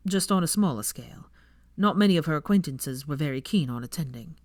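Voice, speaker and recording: A woman's voice: sits low, lively intonation, fairly quick, darkish tone, no room sound. A woman voicing a dry, teasing young wizard man with a mocking, sweary drawl, in a story reading. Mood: wry, resigned.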